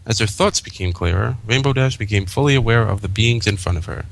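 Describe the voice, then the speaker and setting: Lowish-voiced man narrating a story. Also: a little fast, gravelly. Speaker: fan fiction reader.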